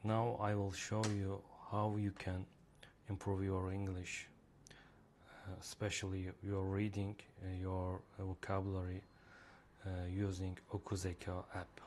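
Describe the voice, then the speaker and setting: A man's voice: sits low, completely flat delivery, measured pace, a little mellow, slight background noise. A man with a Turkish accent, a native Turkish speaker, walking viewers through a reading app in a screen-recorded demo, speaking hesitantly with many fillers. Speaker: app creator demonstrating his reading app.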